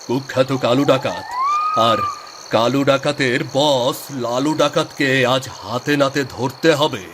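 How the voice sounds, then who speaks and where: A man's voice: mid-range voice, huge pitch swings, unhurried. A man voicing a stern, commanding police inspector in a cartoon, speaking formally and with authority. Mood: stern, resolute. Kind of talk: dramatic cartoon dubbing. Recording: clear night insect noise behind. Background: crickets, frogs, night insects.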